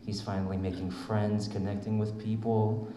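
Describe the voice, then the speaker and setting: Lowish mellow guy on a convention panel, thoughtful, lots of ums, echoey hall with faint hum behind. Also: little pitch movement, normal speed. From Vietnam. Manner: thoughtful, reflective, many fillers. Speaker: voice actor panelist.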